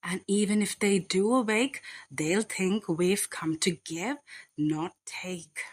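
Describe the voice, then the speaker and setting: A woman's voice: mid-range voice, takes it slowly. Woman acting out Lanky Len, a whiny, scheming thief. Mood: boastful scheming. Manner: scheming, whining. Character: Lanky Len, thin male thief, boastful but easily spooked.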